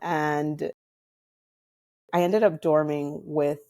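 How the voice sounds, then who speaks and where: Low, mellow American woman telling stories casually. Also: fairly even intonation, extremely slow, no room sound, studio quality. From Hawaii. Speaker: interview guest. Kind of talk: casual personal storytelling in conversation.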